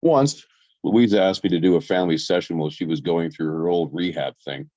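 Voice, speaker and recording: A brooding, bitter young man played by a man in a drama table read. The voice is deep and dark, and the dull recording has very little room in it. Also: a little fast, no echo. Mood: bitter, resigned.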